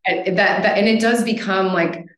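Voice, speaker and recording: A woman's voice: medium pitch, steady tone, unhurried. An American woman in her thirties, a podcast guest, talking casually and often tagging her sentences with "right?". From United States. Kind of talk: casual conversation. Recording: roomy echo.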